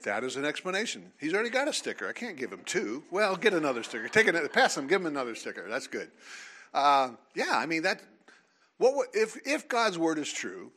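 A man leading a seminar before a live audience, talking in an animated, teasing way and calling on listeners. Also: fairly high pitch, a little fast. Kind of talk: lively creationist talk with audience.

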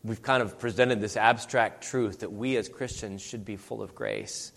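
A man's voice: on the low side, fairly even intonation, moderate pace, gravelly, a bit bright. Man preaching in an echoing hall. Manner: conversational, asks many rhetorical questions. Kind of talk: sermon. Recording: long hall reverb.

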